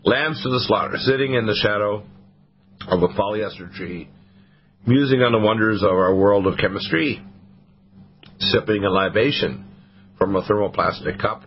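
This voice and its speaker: A hoarse man hosting a talk radio show, reading a poem aloud and stopping often to restart his sentences. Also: lowish voice, a little hiss or hum. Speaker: host. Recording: dull lo-fi radio broadcast.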